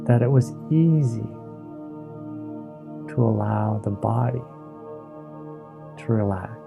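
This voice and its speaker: A man leading a guided meditation in a slow, soothing, hypnotic manner, with long pauses between short phrases. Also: on the low side, creaky. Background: ambient new-age music with singing bowls.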